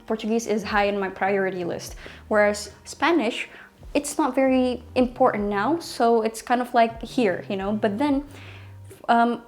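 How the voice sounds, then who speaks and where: A woman's voice: medium pitch, a little mellow. A young woman, a language teacher giving casual advice in a vlog, talking in a chatty way with many ums and restarts, recorded in a small bedroom. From Indonesia. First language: Indonesian.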